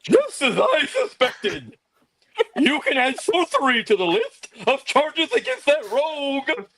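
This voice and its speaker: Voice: high voice, wildly swooping pitch, slow, a bit bright, no room sound, full character voice. A performer voicing a pompous, haughty medieval nobleman in a comedic script read, with a theatrical, blustering delivery. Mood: commanding.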